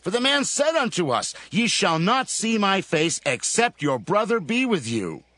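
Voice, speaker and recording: An earnest grown son, played by a man, pleads with a lively, rising and falling voice. The sound is dry and close, with soft music under it. His pitch sits a little high. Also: measured pace, no room sound, full character voice. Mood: pleading. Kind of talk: dramatized scripture reading.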